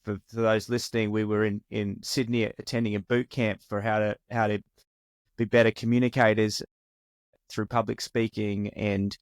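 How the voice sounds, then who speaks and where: Smooth-voiced halting podcast host man. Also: lowish voice, little pitch movement, no echo. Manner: friendly, halting with fillers. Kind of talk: casual interview.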